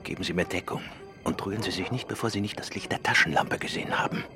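Low-voiced, daring detective played by a man. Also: quick pace, over music, natural voice. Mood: calm, determined. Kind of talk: German radio drama acting. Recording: studio radio play with clear background noise.

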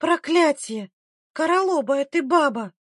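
A young village midwife, as acted by a woman storyteller, caring and fiery. Her voice sits fairly high and sounds earnest and urgent, in a dry audiobook recording. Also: expressive, moderate pace, darkish tone, slightly rough, no room sound. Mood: angry.

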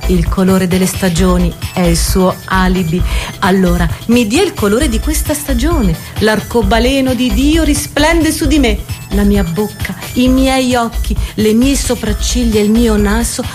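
A woman's voice: mid-range voice, measured pace, over music, close to an everyday voice. A woman playing a vain, famous diva hungry for terrifying beauty, in solemn theatrical declamation. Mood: imperious, grandiose. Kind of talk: theatrical literary monologue and dialogue.

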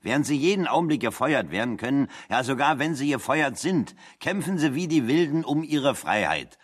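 An actor playing a wry, talkative man in a German radio play, speaking in a deliberate, ironic, anecdotal way. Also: medium pitch, lively intonation, slightly rough. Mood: dry irony.

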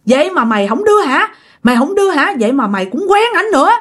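Woman acting a high-pitched, vengeful female ghost. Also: big pitch swings, moderate pace, fairly bright tone, breathy edge, heavily acted. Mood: accusing, anguished. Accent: Southern Vietnamese. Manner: accusing, repeats phrases insistently, bursts of menacing laughter. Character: vengeful, jealous female ghost possessing a woman, bitter and cursing.

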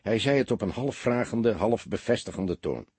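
Low, husky male audiobook narrator. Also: expressive, normal speed, a little mellow, no echo. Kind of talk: scripted dramatic story narration.

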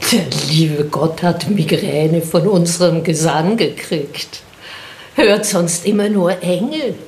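A wry, squeamish villager. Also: female voice, lowish voice, a little mellow, reverberant. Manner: joking, dry, unhurried.

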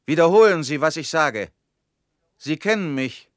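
A man's voice: a little high, lively intonation, unhurried, no room sound, studio quality. An actor in a radio play playing a fearful, suspicious man alone at home, speaking in insistent, clipped sentences. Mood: agitated demanding. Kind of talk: German radio play acting.

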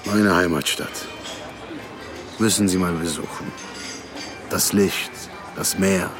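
Sly, low-voiced male bar owner. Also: takes it slowly, over music. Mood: provocative, amused. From Lebanon. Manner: mock-innocent, ironic. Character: smooth-talking, sarcastic male bar owner, unruffled suspect. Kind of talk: radio drama acting.

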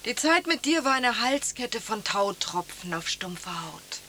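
A woman's voice: a little high, sing-song, unhurried, pushed and bright, slight background noise, heavily acted. An actress playing a bored, weary, disillusioned woman, languid, dismissive and poetic, in a German radio play. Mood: melancholy, poetic. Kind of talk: German radio play, stylised conversation piece.

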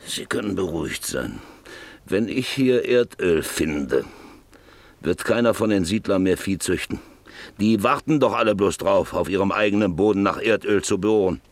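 A man's voice: low-pitched, normal speed. A man acting a brooding, embittered, obsessed landowner in a German radio play, with insistent, stage-trained delivery. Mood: defiant, impatient. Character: embittered, obsessed, paralysed landowner John Beverly drilling for oil.

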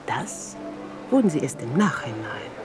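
Deep-voiced, dry, blunt woman. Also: sing-song, unhurried, music in the background, heavily acted. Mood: dry, teasing. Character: down-to-earth woman drily puncturing lofty talk. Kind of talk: conversational radio play dialogue.

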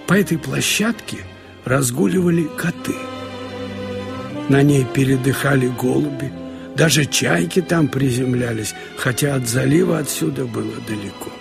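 Male narrator, creaky gravelly voice, literary audio story, wry expressive delivery, music underneath. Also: mid-range voice, normal speed. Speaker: narrator reading short fiction.

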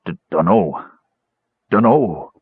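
A man's voice: lowish voice, expressive, slow, no room sound, narrow, tinny audio. A man voicing a tortured, terrified male creature whose stammering speech bubbles and froths.

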